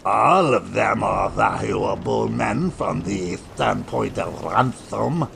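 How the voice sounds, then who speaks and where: Man playing a very gravelly pirate henchman. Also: fairly low pitch, expressive, slow, background noise, heavily acted. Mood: calculating. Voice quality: gravelly, grunting. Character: sadistic gruff pirate henchman, eager yet practical. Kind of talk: dramatised audiobook reading. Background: faint ambient sea sound.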